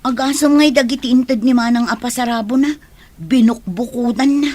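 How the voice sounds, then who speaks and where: A woman's voice: on the high side, moderate pace, dark tone, close to an everyday voice. A woman acting a nosy, complaining village woman in an Ilocano comedy radio drama, with an exclamatory, whiny delivery and an Ilocano accent. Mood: gossipy.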